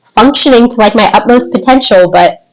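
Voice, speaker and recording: A woman in her twenties with an American accent, telling personal stories in an informal, animated, rambling way as a podcast guest. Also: fairly high pitch, fairly quick, a crowd in the background, low-quality recording. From United States. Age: 20s. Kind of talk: casual podcast chat, personal storytelling.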